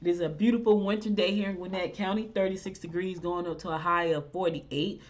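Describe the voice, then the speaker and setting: A woman's voice: on the low side, fairly quick, highs cut off. Stuffy-nosed woman hosting a podcast. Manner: rambling, animated, talks to listeners directly. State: congested, stuffy nose. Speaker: podcast host. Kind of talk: casual chatty monologue.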